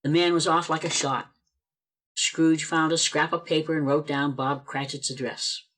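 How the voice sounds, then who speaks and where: A woman's voice: sits low, steady tone, normal speed, a bit bright. Woman narrating a radio play. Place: performed remotely over a video call.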